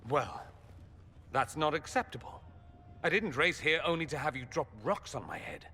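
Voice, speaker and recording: A man's voice: fairly high pitch, sing-song, moderate pace, pushed and bright, slightly rough, full character voice. An actor playing a dry-witted male mage who speaks in an arch, sardonic way, in a video game cutscene. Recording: long hall reverb.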